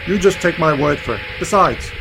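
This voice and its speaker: A man playing an eccentric, knowing uncle and adventurer in an amateur science-fiction radio play, brisk and dismissive. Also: a little high, animated, vocal fry, over music. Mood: assured. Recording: faintly noisy home-made radio play recording.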